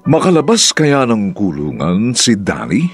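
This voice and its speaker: A man with a Filipino accent giving measured, theatrical scripted narration as a radio drama announcer. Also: lowish voice, huge pitch swings, loud music behind the voice.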